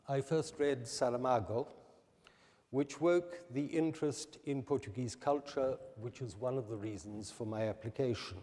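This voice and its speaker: New guy giving a slow careful formal speech, warm mellow voice, echoey hall. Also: mid-range voice. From New Zealand. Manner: measured, deliberate delivery. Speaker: candidate giving a public presentation. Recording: reverberant hall.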